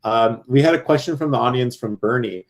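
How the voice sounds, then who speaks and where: A man's voice: medium pitch, fairly quick, poor audio. A man hosting an online creator showcase, upbeat and loose, thanking speakers and introducing the next one with many fillers. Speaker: cohort host and moderator. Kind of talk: casual live event hosting.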